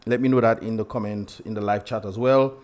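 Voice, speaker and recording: A man's voice: lowish voice, fairly even intonation, a little fast, darkish tone. A young man with a Dominican accent hosting a casual livestream, chatty and laughing often as he greets viewers. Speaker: livestream host.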